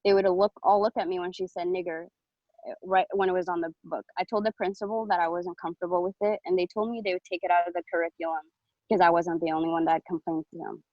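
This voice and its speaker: A young woman from Ethiopia reads her own speech over a video call, earnest and personal, pausing with fillers. Her voice sits a little low and is dry and close, and the call audio sounds dull and lo-fi. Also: little pitch movement, dry recording. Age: around 20. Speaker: public commenter. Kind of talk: reading a prepared speech.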